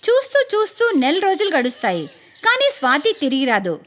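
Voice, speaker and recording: A woman narrating a cartoon moral story in a lively storytelling manner. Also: sits high, a little fast.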